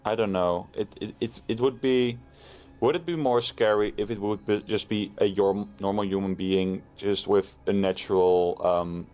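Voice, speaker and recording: A man co-hosting a podcast, chatting casually about a horror story in a blunt, matter-of-fact way with halting restarts. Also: fairly low pitch, steady tone, a little mellow, soft background music. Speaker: podcast co-host.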